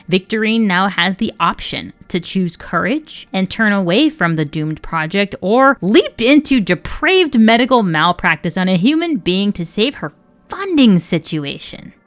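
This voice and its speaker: Woman narrating an analytical video essay. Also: medium pitch.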